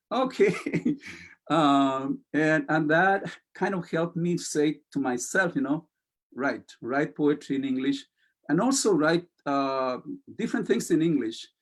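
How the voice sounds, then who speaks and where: A man with a Spanish accent, a poet and interview guest, speaking slowly and hesitantly with many pauses and fillers, and reading poems aloud. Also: fairly high pitch. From Chile. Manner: slow, hesitant, many fillers, soft-spoken.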